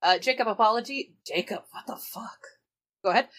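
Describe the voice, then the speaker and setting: A woman's voice: a little high, brisk, fairly bright tone. A woman playing a tabletop game in casual improvised role-play.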